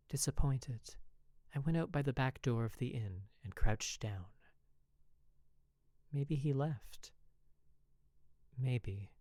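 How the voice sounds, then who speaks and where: An expressive, measured narrator reading a first-person dramatic story. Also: mid-range voice, dry recording, studio quality. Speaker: podfic narrator.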